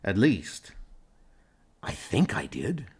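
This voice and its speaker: A man playing a thoughtful, self-assured starship captain in an amateur comic audio drama, speaking in a questioning, deliberate way. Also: lowish voice, huge pitch swings, husky, heavily acted. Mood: pensive, doubtful.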